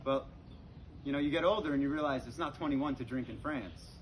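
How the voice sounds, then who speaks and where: A young man telling stand-up comedy stories to an audience in a conversational, halting way, with pauses. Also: medium pitch. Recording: very noisy live comedy recording with clicks. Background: audience laughter.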